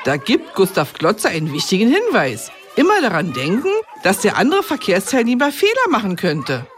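A woman's voice: mid-range voice, moderate pace, slight background noise. A woman playing a caring, easily flustered kindergarten teacher with an instructive manner in a children's radio comedy. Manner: instructive, animated.

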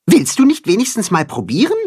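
A man's voice: high-pitched, wildly swooping pitch, brisk, darkish tone, slightly rough, voice pushed up, heavily acted. A man voicing a cheerful, helpful little male cat-chicken creature in a children's audiobook. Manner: eifrig, freundlich. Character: fröhliches kleines männliches Katzenhuhn, hilfsbereit.

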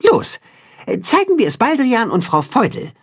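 Man voicing a high-pitched, plucky young chicken. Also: huge pitch swings, measured pace, husky, heavily acted. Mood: urgent, decisive.

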